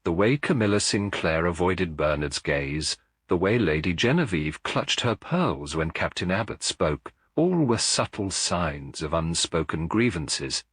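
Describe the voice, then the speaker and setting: Deep, husky man narrating a mystery. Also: sing-song, unhurried, hardly any pauses, a bit bright, dry recording, studio quality. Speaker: audiobook narrator. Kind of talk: scripted dramatic mystery narration.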